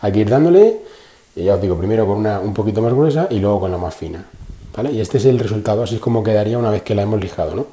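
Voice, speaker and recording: A man's voice: fairly low pitch, expressive, rapid-fire, mellow, echoey room. Chatty man. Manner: chatty, repeats himself, frequent fillers. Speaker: car channel host. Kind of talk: casual how-to explanation. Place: indoor garage. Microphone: phone held in one hand.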